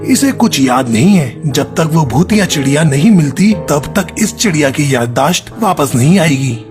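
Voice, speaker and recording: आदमी की गूँजती, गंभीर तांत्रिक आवाज़. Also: male voice, fairly high pitch, lively intonation, moderate pace, soft background music, lightly acted. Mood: stern. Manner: solemn, commanding. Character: stern, powerful male devil or forest mystic. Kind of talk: cartoon horror story acting. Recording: long hall-like reverb.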